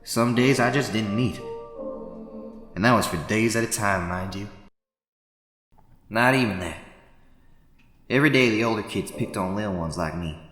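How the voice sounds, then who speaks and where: A man's voice: fairly low pitch, moderate pace, pauses often, fairly bright tone, reverberant, in a large hall, soft background music, natural voice. A man voicing an indignant young boy bunny in an amateur cartoon dub, with a folksy, sassy drawl. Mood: somber recollection. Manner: folksy, sassy, scolding drawl with y'all and darn. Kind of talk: scripted amateur comic dub dialogue.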